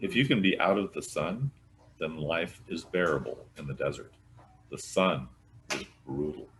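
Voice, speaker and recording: A man's voice: a bass voice, normal speed, a bit bright, a little hiss or hum. A man reading a mystery novel aloud as a scripted narration, in the manner of a volunteer storyteller. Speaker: volunteer audiobook reader.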